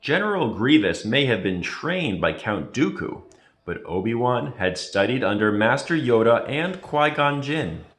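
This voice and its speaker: A man reading a children's story aloud with expressive, dramatic storytelling. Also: mid-range voice. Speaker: reader.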